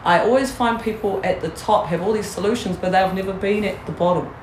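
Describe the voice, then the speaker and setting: A woman's voice: mid-range voice, a little fast, roomy sound, a little hiss or hum, rough recording. A woman with a New Zealand accent, a guest in a candid interview, talking bluntly, swearing freely and laughing often.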